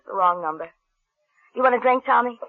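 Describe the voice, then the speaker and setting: A scheming American femme fatale in an old radio thriller. Her high voice swoops around, flippant, coaxing and dismissive, through the dull sound of a muffled vintage broadcast. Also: expressive, a little fast. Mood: breezy, dismissive. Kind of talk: 1940s-50s American radio drama acting.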